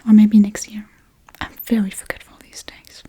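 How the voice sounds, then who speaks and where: Witch for ASMR role-play, played by a woman: soft and mellow, breathy whisper, playfully menacing, forgetful, coaxing and unhurried. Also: medium pitch, flat delivery. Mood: absent-minded, teasing.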